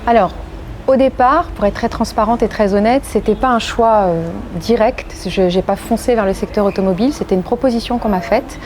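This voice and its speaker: A woman from France giving measured, reflective and earnest interview answers as a legal professional. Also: medium pitch, a little mellow, a little hiss or hum. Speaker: interviewee, corporate legal and compliance executive.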